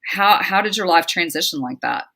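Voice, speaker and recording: A woman with a Southern drawl hosts a relaxed interview, chatting quickly and easily. Her voice sits a little low but has a bright, forward edge. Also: gravelly. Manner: chatty, fast-talking. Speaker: host. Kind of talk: casual interview talk.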